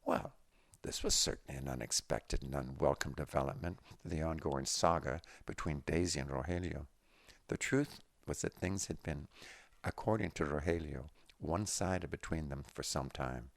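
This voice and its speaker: A man's voice: a bass voice, normal speed, creaky, dry recording. A man reading a story aloud as scripted narration. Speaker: audiobook-style reader of a story.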